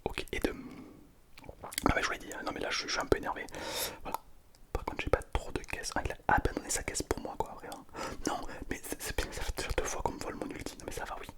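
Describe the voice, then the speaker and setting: Casual gaming host, whispered breathy delivery, playful mock-boastful commentary with repeated phrases. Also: fast talker.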